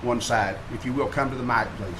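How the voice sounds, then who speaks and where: A man's voice: mid-range voice, a little fast. A man chairing a council meeting, speaking in formal procedural language. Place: indoor public council meeting. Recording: noisy council chamber microphone.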